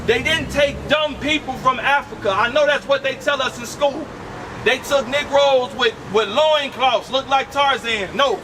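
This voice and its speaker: A man's voice: very high voice, unhurried. A man preaching outdoors on a city street, emphatic and commanding, asking rhetorical questions and calling on a reader. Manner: commanding, directs a reader with repeated "read". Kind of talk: street preaching with call-and-response. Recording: distant mic with street noise.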